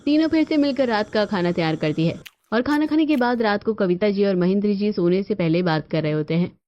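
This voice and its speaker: A woman's voice: medium pitch, lively intonation, fairly quick, darkish tone, highs cut off. A woman narrating a scripted story as a storyteller. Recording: band-limited audio with music behind.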